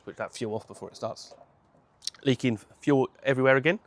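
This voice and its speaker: A man, hoarse from a cold, chatting casually to camera outdoors on a home patio, rambling and correcting himself as he goes. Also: mid-range voice, fairly quick. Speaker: motorcycle vlogger.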